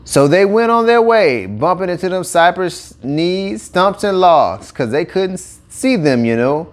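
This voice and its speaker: A man's voice: sits high, measured pace. A man with a Cajun accent narrating a children's story in a folksy, colloquial way. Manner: colloquial, animated dialect delivery. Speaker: audiobook narrator.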